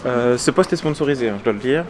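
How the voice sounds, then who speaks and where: A man's voice: mid-range voice, lively intonation, fast talker, background noise. A man joking around, doing a mock vlog introduction.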